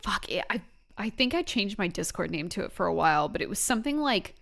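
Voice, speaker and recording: Female host for a candid chat, playful and quick to giggle, with an expressive, animated voice. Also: medium pitch, creaky. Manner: playful, giggly, agreeable banter. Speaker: interviewer, video host. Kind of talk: casual candid interview chat.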